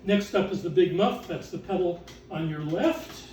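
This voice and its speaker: A man reciting written text as author and curator, in a theatrical, deliberate way with drawn-out emphasis. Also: high-pitched, a little mellow. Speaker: author and exhibition curator reading aloud. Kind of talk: dramatic recitation of catalog text to an audience. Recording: roomy, distant mic with background noise.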